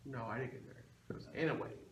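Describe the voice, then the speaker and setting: A man's voice: medium pitch, very fast. A man co-hosting a show with casual, crude, off-the-cuff banter. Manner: crude, joking. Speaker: co-host of a weekly geek show. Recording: echoey room with noticeable background noise.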